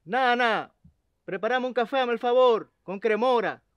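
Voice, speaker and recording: An arrogant young estate boss snaps scornful orders in a high voice, as a man plays him. The sound is dry and outdoors, picked up from a little way off. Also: measured pace, clear, resonant tone, no echo. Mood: bossy. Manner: sharp commands, scornful. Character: arrogant, bossy young male estate owner. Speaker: actor. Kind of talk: web drama acting. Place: outdoor terrace in green hills.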